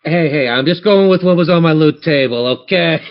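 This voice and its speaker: A man's voice: on the high side, brisk, background noise. Male game master, off-the-cuff tabletop storytelling, slightly dull recording. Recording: dull lo-fi band-limited audio.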